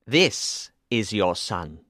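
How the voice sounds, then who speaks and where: A woman voicing a solemn, all-knowing old wizard who declares things slowly and gravely in a storybook reading. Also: a bass voice, sing-song, a bit bright, no echo, clean studio sound, voice pushed down, exaggerated voice.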